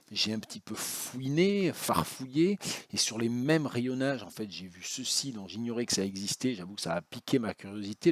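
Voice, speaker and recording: A man's voice: mid-range voice, no room sound. A man talking casually about borrowed books, with hesitant speech full of fillers and restarts. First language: French. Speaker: university academic, book vlogger. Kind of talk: casual spoken book vlog.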